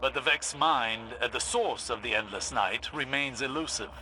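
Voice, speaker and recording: An actor playing a sceptical, probing mentor in a video game, with a dry, pointed and formal delivery. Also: male voice, medium pitch, moderate pace, noisy background, close to an everyday voice. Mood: sober, determined. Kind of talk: video game cutscene acting.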